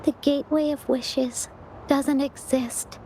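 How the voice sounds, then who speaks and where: A soft wistful young girl. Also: sits high, fairly even intonation, slow, a little hiss or hum, poor audio. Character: gentle young girl missing her mother. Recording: game soundtrack music behind.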